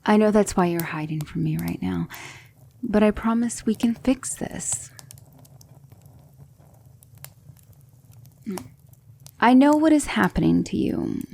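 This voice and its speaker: A woman playing a gentle, patient demon mentor in an ASMR roleplay, speaking softly and often in a whisper, soothing and reassuring. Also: mid-range voice, lively intonation, a little slow, creaky, slightly rough, exaggerated voice.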